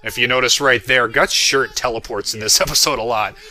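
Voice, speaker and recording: A man's voice: mid-range voice, brisk, sharp tone. A man giving casual, chatty commentary on his own work, wandering into self-amused asides. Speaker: creator doing episode commentary. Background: music playing underneath.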